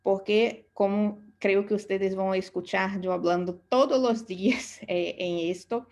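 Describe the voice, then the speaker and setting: A woman's voice: mid-range voice, a little mellow. A woman from Brazil with a Brazilian Portuguese accent, a native Portuguese speaker giving an online lecture as an aesthetics instructor and searching for words in her second language.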